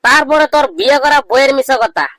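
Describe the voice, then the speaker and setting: A man voicing a cheeky, boastful young village know-it-all in a comedy cartoon, with a rural Bangladeshi accent and a pitched-up voice. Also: fast talker, pushed and bright, no room sound. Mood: mocking, emphatic. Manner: bragging, teasing, declamatory.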